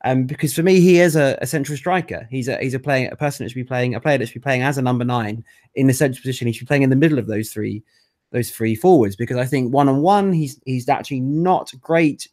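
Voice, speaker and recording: A man's voice: medium pitch, quick pace, darkish tone. A man co-hosting a casual football podcast, enthusiastic and opinionated, often stumbling over his words.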